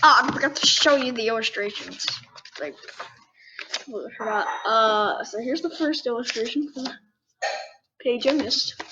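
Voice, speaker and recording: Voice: medium pitch, measured pace, long pauses, noisy background. A ten-year-old child host reading a storybook aloud, with casual asides to the listeners. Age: 10 years old.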